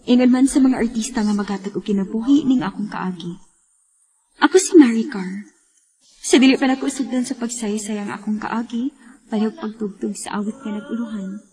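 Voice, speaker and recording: A woman's voice: a little high, brisk, mellow, lightly acted, rough recording. An actress playing a woman letter sender who narrates her life story in a radio drama, with a Cebuano Filipino accent. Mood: earnest introduction.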